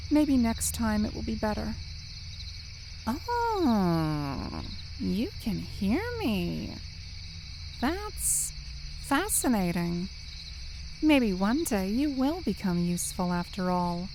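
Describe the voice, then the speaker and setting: Woman voicing a slow, eerie, scheming spirit. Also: mid-range voice, very animated, voice pushed down. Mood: cool, intrigued. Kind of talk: ASMR roleplay acting. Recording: noisy track with crickets and insects.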